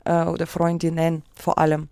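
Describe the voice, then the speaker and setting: Creaky-voiced woman, halting and searching. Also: low-pitched, monotone, warm tone, no echo, clean studio sound. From Kyiv, Ukraine. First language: Russian and Ukrainian. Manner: halting, searching for words, self-interrupting. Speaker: interview guest, artist. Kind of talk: casual reflective interview talk.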